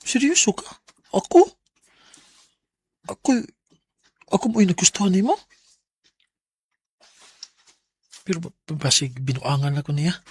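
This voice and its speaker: A man's voice: fairly high pitch, normal speed, pauses often, slightly rough, no room sound. An actor playing an easygoing, teasing young man who flusters easily, with a Cebuano accent, in radio drama style. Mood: flustered, disbelieving. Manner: animated, teasing, exclamatory. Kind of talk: Bisaya radio drama acting. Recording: noisy radio drama recording.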